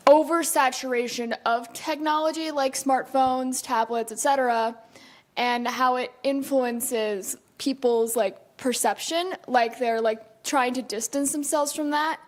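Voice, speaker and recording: Young woman, casual, says "like" a lot. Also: sits high. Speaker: student asking a question. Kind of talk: question from the floor.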